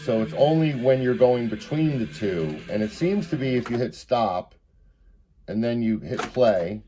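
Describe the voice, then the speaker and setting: A man with a medium-pitched voice gives an informal hands-on demonstration. He talks through each step as he goes, thinking aloud. Guitar music plays behind him. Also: lively intonation, a little slow, dark tone, poor audio. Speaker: product demonstrator. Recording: music playing in the background.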